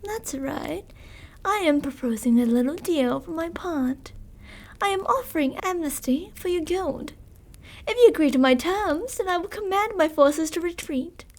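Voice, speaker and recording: A woman playing a sadistic, possessive, seductive vampire commander in an ASMR roleplay, speaking theatrically and tauntingly with terms of endearment. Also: sits high. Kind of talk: ASMR roleplay, dramatic monologue.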